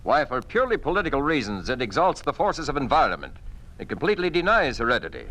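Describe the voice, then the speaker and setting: An actor playing an earnest, principled scientist, emphatic and easily indignant, in a 1950s radio drama. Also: male voice, mid-range voice, wildly swooping pitch, fast talker, poor audio. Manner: forceful, emphatic.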